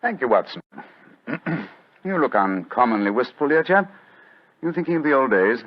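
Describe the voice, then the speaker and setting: An actor playing a keen, imperious aging British detective in 1940s radio style, clipped, theatrical and commanding. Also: male voice, medium pitch, big pitch swings, moderate pace. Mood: wry, relaxed. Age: older. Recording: dull old-time radio recording.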